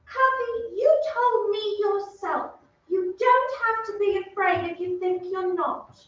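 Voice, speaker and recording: A woman's voice: very high-pitched, slow, lo-fi sound. A woman playing an earnest, tender young noble girl, pleading and encouraging in an old-time radio play. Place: theatre stage, heard from off. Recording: very echoey distant stage, noisy.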